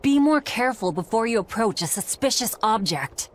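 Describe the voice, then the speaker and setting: A woman's voice: on the high side, expressive, moderate pace. An actress voicing a curt, irritable, stern young woman warrior in a video game, with a blunt, impatient manner. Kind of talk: English-dub video game acting. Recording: music behind.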